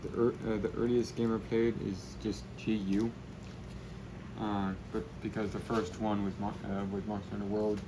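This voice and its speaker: A young man chatting casually and rambling in a low-key way while he spray paints. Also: little pitch movement, normal speed, background noise.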